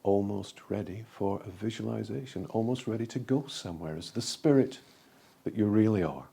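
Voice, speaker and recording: A man leading a guided meditation in a calm, gentle, deliberate way, leaving frequent pauses between phrases. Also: lowish voice, creaky, slightly rough.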